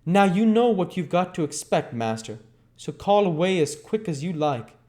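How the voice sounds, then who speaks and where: A man's voice: high voice, big pitch swings, moderate pace, a little mellow, pitched above the performer's own voice. A man voicing a brutal, menacing thief who growls threatening commands in a storybook reading. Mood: grim, menacing. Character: brutal, menacing adult male thief bullying a boy. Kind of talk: storybook character voice.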